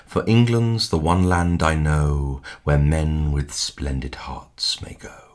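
A man giving a dramatic poetry recitation in a measured, expressive way, with hushed line endings. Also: very deep voice. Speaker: poetry reader. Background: music.

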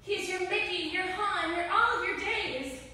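A woman's voice: very high-pitched, unhurried, pushed and bright. A young woman delivering a scripted rhyming storybook speech as a bridesmaid at a wedding. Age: young adult. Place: large wood-walled indoor reception venue. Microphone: mic on a stand, picked up by far camera. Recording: echoey hall, distant camera, noisy room.

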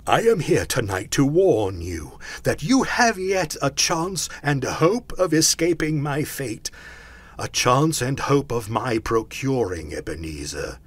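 A man voicing a tormented, mournful ghost in a storybook reading, with slow, drawn-out declamation. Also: medium pitch, sing-song, breathy edge, pitched above the performer's own voice. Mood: anguished, wailing. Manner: slow, drawn-out, declamatory delivery with wailing cries. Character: tormented male ghost, mournful and solemn warning spirit.

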